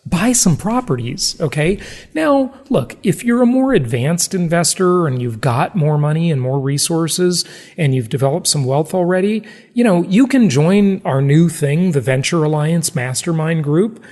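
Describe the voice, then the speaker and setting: A man's voice: on the high side, lively intonation, unhurried, a little mellow, big-room sound. An American man hosting a podcast in a conversational, salesy way, repeating phrases for emphasis. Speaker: podcast host, real estate investing.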